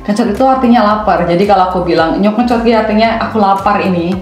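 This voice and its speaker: A young man with a Banyumas Javanese accent, co-hosting an educational podcast and explaining terms in a steady way. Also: sits high, fast, reverberant, faint music behind, rough recording. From Banyumas, Central Java. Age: young adult. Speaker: podcast co-host, intern student. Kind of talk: scripted educational chat.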